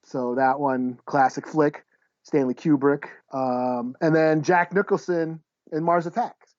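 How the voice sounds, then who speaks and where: A man's voice: a little high, measured pace, darkish tone, dry recording. A man co-hosting a podcast in casual chat, offhand and self-deprecating, on a dull lo-fi microphone. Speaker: podcast co-host.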